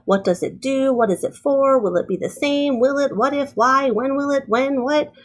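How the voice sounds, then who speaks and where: A woman's voice: high-pitched, voice pushed up, lightly acted. A woman voicing a curious little girl from a storybook who fires off eager questions about everything. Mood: curious, insistent. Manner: eager, rapid-fire questioning.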